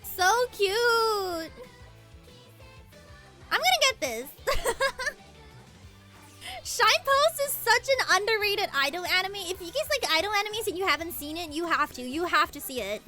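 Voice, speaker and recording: A woman's voice: extremely high pitch, lively intonation, a little slow, long pauses, pushed and bright, faint music behind. A young woman streaming casually, gushing and giggling as she reacts and answers chat. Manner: bubbly, gushing, frequent giggles and repeated words. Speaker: VTuber streamer.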